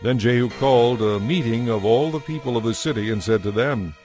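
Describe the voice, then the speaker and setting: A man's voice: mid-range voice, a little fast, a little mellow, soft background music. A man giving scripted narration for a dramatized audio Bible.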